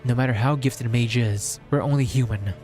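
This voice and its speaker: A male streamer reads fantasy fiction aloud in a solemn, measured way. His pitch sits in the middle for a man, and he delivers short phrases as dramatic narration. Faint music plays behind him. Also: a little mellow. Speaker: streamer reading a visual novel aloud. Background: background music.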